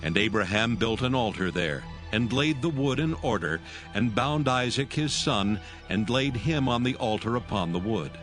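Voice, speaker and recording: A man's voice: mid-range voice, lively intonation, moderate pace, over music. A man narrating scripture as a scripted reading.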